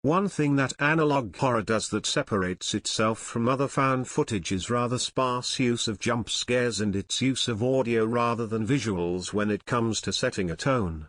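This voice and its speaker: Male video narrator for a scripted explainer, average pitch, very dry recording. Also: normal speed, hardly any pauses, a bit bright, dry recording.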